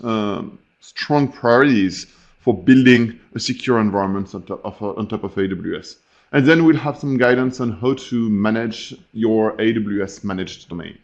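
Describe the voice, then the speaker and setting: A man's voice: mid-range voice, highs cut off. A man from France with a French accent, giving a technical webinar presentation with frequent fillers and restarts.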